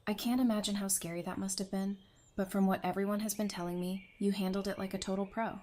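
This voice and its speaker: A woman's voice: mid-range voice, fairly even intonation, fast talker, warm tone, lightly acted. A woman playing a tough, caring survivor with a familiar, teasing way of talking in a fan audio drama. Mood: caring, encouraging.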